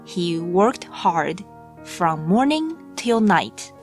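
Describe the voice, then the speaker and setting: A woman narrating a children's storybook from a script in a measured, deliberate read-aloud delivery. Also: lowish voice, big pitch swings. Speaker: audiobook narrator. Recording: music bed under the voice. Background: background music.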